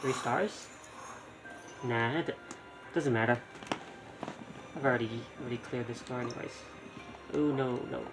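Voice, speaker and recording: A man with a middle-pitched voice commentates casually on his live game stream. He half talks to himself, dropping mild exclamations as he plays. Loud game music runs behind his voice. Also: fairly even intonation, unhurried, long pauses, rough recording. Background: loud video game music.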